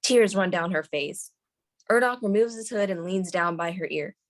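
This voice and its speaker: A woman's voice: medium pitch, normal speed. A woman reading screenplay stage directions as the narrator at a table read.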